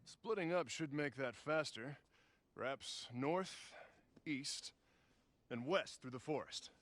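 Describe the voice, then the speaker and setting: An actor playing an easygoing, rugged adventurer uncle in a video game cutscene. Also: male voice, mid-range voice, expressive, slow pace, a bit bright. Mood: focused.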